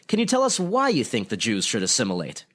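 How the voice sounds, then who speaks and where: A man's voice: mid-range voice, wildly swooping pitch, fast, fairly bright tone. A man playing an earnest, probing news reporter, formal and pointed, in old-time radio style.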